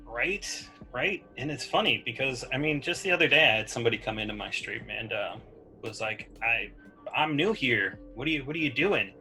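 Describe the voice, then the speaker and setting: A man speaking as a guest in a casual video chat, calm and unhurried. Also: mid-range voice, faint music behind.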